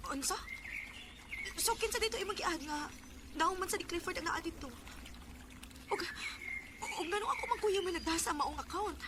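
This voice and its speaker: A woman's voice: very high-pitched, lively intonation, moderate pace, long pauses, heavy background noise. A woman acting a lovelorn young woman with a secret crush in a radio drama. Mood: anxious.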